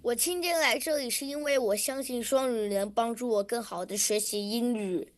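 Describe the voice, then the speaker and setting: A woman's voice: fairly high pitch, normal speed, dry recording. Woman reading a short prepared statement. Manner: short recited sentences. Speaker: student public speaker.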